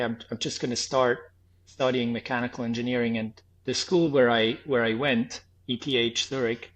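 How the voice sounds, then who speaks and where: A man in his thirties with a Swiss accent, softened by a faint New Zealand trace, reflects slowly on his path. He halts often, restarts his sentences and fills the gaps with ums. His voice sits at a middling pitch. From Switzerland. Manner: thoughtful, hesitant, many restarts and "um"s. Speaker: podcast guest, former health tech startup founder. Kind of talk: reflective interview answers.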